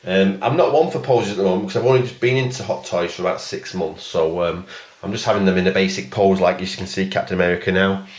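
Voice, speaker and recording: Deep-voiced man, rambling review. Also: quick pace, hardly any pauses. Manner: rambling and hesitant, many fillers; plain, even delivery. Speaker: toy collector reviewing a figure. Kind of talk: casual video review.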